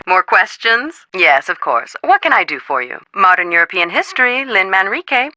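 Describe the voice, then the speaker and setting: A woman voicing a brisk, polite history academic in a video game, businesslike and crisp. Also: medium pitch, big pitch swings, sharp tone, a little hiss or hum. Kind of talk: video game phone dialogue acting.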